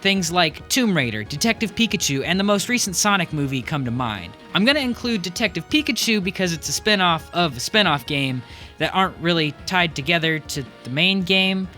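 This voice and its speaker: A man's voice: high voice, big pitch swings, a little fast, sharp tone, faint music behind. A young man hosting a video essay in a wry, casual scripted commentary style, with fillers. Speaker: host.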